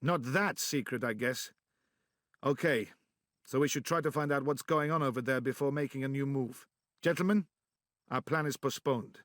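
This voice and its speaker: A man's voice: mid-range voice, moderate pace, no room sound, lightly acted. A man playing a weary resistance leader. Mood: steady. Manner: calm, dry understatement. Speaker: narrator.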